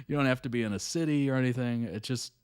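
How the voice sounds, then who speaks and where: A man's voice: medium pitch, clean tone, dry recording, studio quality. A young adult man hosting a live reaction stream, chatting casually and musing aloud with fillers and small chuckles. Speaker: reaction video host.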